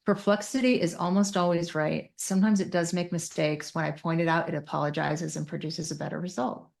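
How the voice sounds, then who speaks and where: A woman's voice: fairly low pitch, steady tone, fairly quick, creaky, darkish tone. A woman speaking as a clinical guest in casual expert conversation, frank and opinionated, thinking aloud. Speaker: podcast guest, psychiatric clinician.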